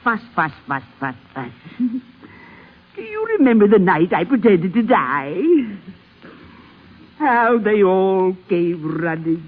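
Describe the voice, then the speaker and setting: A husky-voiced ancient grandmother, imperious and shrewd, drags out her needling questions with big swoops of pitch. It is vintage radio drama with a dull, aged sound. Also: sing-song, takes it slowly. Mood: fretful, sly. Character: very old matriarch grandmother, shrewd, fretful, commanding. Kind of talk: 1950s radio drama acting.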